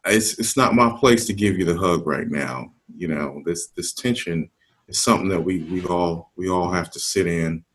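An older man speaking in a measured conversational discussion, pausing often with ums as he gathers his thoughts. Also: sits low, a bit bright. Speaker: discussion panelist.

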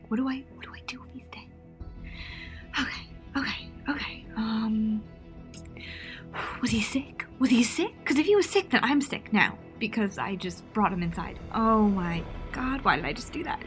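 A woman's voice: a little high, animated, moderate pace, soft background music, lightly acted. A young woman playing an anxious, lonely quarantine diarist in an audio drama. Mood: panicked. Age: young adult. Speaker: student voice actor. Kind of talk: audio diary drama acting.

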